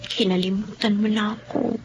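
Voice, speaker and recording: A woman's voice: medium pitch, level tone, moderate pace, background noise, natural voice. A woman playing Sabrina, an emotional young woman in love, in a radio drama, often tearful and pleading. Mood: earnest. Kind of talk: Filipino radio drama acting.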